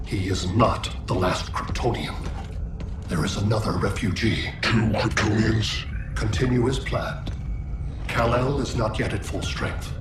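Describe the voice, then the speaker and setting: An actor playing a cold, imperious alien overlord in a video game, speaking in a measured, commanding manner. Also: male voice, lowish voice, lightly acted. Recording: echoey, distant, music behind.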